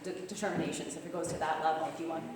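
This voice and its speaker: A young woman with a Long Island accent talks fast and earnestly, her voice a little hoarse. She is heard from far off in an echoey hall with a long tail of reverb, and faint room noise sits under her words. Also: low voice, little pitch movement. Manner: fast-talking, earnest appeal. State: losing her voice. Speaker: campaign manager. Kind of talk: speech at a club meeting. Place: meeting room.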